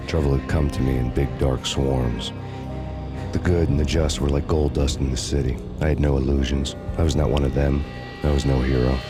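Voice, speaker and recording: Very deep-voiced hard-boiled cop. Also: male voice. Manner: deadpan, sardonic, brooding. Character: world-weary, hard-boiled fugitive male cop, bitter and menacing. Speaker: narrator and lead character. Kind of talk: noir scripted narration.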